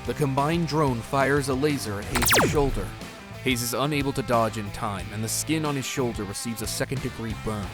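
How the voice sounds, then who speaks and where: A man's voice: medium pitch, normal speed, loud music behind the voice. A man narrating an audio drama in scripted, present-tense prose.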